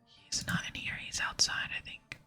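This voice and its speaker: Female gaming ASMR creator, crisp-edged airy whisper, casual and tentative, music behind. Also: moderate pace, sharp tone. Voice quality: whispered, breathy.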